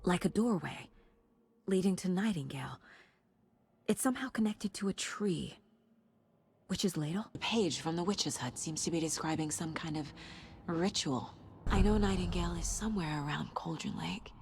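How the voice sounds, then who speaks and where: An actress playing a focused, determined investigator in a video game, speaking in a serious, analytical way. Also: female voice, lowish voice, expressive, normal speed, a little mellow, a little hiss or hum. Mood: pondering. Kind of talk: naturalistic video game acting.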